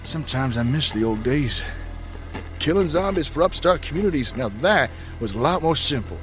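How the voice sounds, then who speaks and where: A man's voice: on the high side, expressive, moderate pace, soft background music, close to an everyday voice. A man playing a nostalgic, moralizing zombie killer who muses talkatively in an audio drama. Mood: musing, needling. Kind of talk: audio drama trailer acting.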